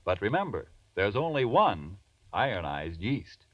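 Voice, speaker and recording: A man's voice: lowish voice, sing-song, unhurried, no room sound. An American man announcing and selling in polished, emphatic 1940s radio style. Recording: dull 1940s radio recording.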